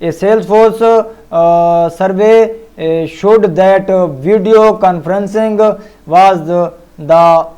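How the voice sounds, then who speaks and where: Slow, halting man with a high voice. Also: dark tone, smooth, low-quality recording. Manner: halting, stumbling reading with frequent hesitations. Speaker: tech tutorial presenter. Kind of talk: scripted narration read aloud.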